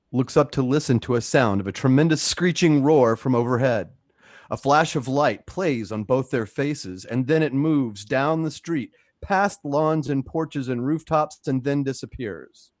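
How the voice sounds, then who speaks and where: A man's voice: mid-range voice, measured pace, a little mellow, no room sound, clean studio sound. A man reading scripted stage directions as the narrator of a radio play. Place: at home, close dry microphone.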